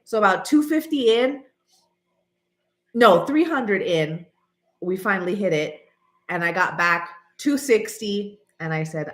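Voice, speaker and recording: A woman in her late thirties, stuffy and nasal from allergies, chatting casually and rambling to her viewers while often clearing her throat. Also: lowish voice, echoey room. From American. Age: 30s. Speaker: channel host. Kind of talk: casual vlog chat.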